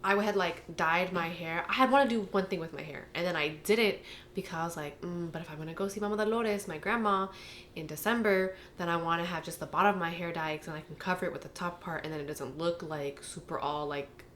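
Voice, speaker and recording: A woman's voice: on the low side, brisk, a bit bright, a little hiss or hum. A young American woman in casual, reflective conversation, speaking informally with lots of "like" and "you know.". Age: young adult. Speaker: anonymous testimony participant.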